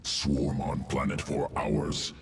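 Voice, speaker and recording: An actor playing a cold, fussy alien gene engineer in a video game, speaking in clipped, telegraphic phrases with a gravelly, echoing voice. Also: male voice, fairly even intonation, takes it slowly, background noise, exaggerated voice. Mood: indignant. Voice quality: low gravelly rasp. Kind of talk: video game character acting. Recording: echoing, slightly distant, faint music.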